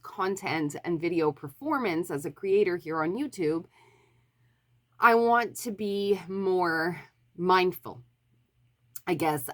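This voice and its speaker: Female book vlogger, slightly nasal from a cold, lower register, rambling and casual with lots of fillers. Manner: rambling, self-correcting, many fillers. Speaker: book YouTuber. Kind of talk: casual chat to camera.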